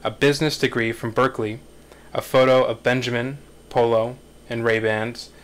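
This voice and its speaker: A man in his thirties narrating screenplay directions in a measured, halting way at a table read. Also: medium pitch, level tone.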